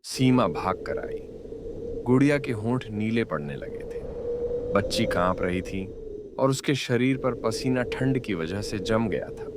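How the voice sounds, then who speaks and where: A man narrating a scripted moral story in a measured, dramatic storytelling manner. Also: on the low side, lively intonation. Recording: loud background noise.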